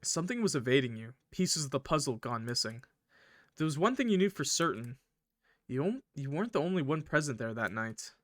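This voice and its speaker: A man's voice: medium pitch, very animated, fairly quick, a bit bright, no room sound. A man hosting a gaming video, reading visual novel narration aloud and adding informal, crude joking asides.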